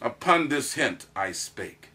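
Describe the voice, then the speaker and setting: A man's voice: medium pitch, very animated, slow pace, pushed and bright, exaggerated voice. A man performing a proud soldier who recounts his courtship in a declamatory, theatrical Shakespearean monologue. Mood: fond, assured. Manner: declamatory, dramatic emphasis.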